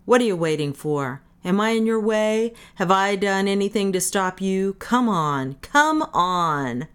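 A woman voicing a gruff, drunken man who is defiant and sardonic, with brash slangy talk, in an audiobook. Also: lowish voice, animated, unhurried. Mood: roaring defiance. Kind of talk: dramatic audiobook character reading.

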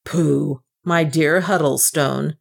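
A woman voicing a rough, mocking man who taunts a sick old sinner. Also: fairly high pitch, steady tone, slow, exaggerated voice. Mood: taunting.

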